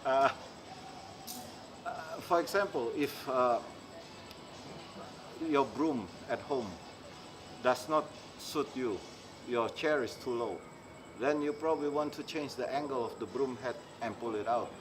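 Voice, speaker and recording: A middle-aged man from Indonesia, speaking haltingly in an interview and often restarting as he searches for words. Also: medium pitch, music in the background. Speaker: interviewee, maker. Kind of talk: casual interview talk. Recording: clear background noise, insects.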